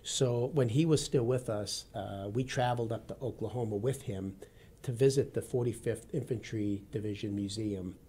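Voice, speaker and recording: A man in his fifties with a Midwestern accent from Wisconsin, talking as a studio interview guest. He speaks in an earnest, formal, steady way and often addresses the host by name. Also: lowish voice, moderate pace, breathy edge. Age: 50s. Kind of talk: radio interview. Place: radio studio.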